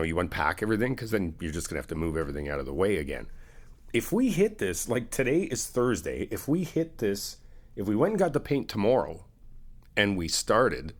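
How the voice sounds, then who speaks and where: Low-voiced, easygoing guy friend, played by a man. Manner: casual, reassuring, chuckling, conversational. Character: easygoing, helpful male friend helping someone move in. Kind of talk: ASMR roleplay, casual one-sided conversation.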